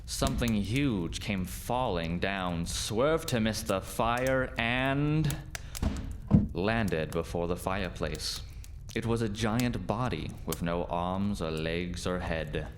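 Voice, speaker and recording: A man's voice: sits low, expressive, slow pace, a little hiss or hum. A man narrating a scripted folk tale as the storyteller in a radio play.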